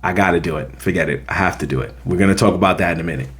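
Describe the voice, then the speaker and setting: A man's voice: sits low, rapid-fire, a bit bright. An American man hosting a film review, talking casually and emphatically, repeating his points to drive them home. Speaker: film review show host. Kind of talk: casual opinionated movie review to camera.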